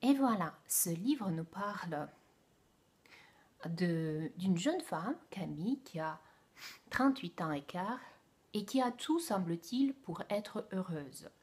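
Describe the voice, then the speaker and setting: Russian-accented woman, non-native speaker, unhurried and airy, hesitant book-review host. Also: on the low side, animated, husky. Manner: careful, hesitant, with soft whispered asides. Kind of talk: casual book review to camera.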